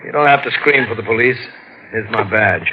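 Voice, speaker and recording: An actor playing a hard-nosed homicide detective who gives clipped, stern commands, in 1940s radio acting. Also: male voice, on the low side, brisk, background noise, natural voice. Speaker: police detective. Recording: muffled old radio broadcast.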